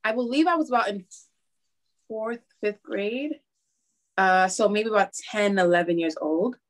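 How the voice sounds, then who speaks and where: A woman's voice: medium pitch, unhurried. A woman from Harlem, New York, who teaches math, answering interview questions candidly, with hesitant fillers.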